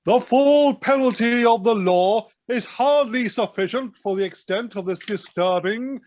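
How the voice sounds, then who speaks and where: A man's voice: extremely high pitch, a little slow, a little mellow, dry recording. A man playing a pompous, severe, disdainful judge in an audio play, on dull lo-fi call audio. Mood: stern, outraged. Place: living room, recorded over video call.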